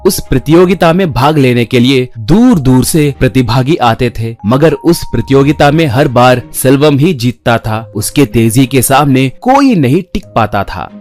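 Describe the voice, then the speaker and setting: A man with a medium-pitched voice narrates a scripted children's tale. Music plays behind his storytelling. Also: expressive, measured pace, few pauses, a little mellow.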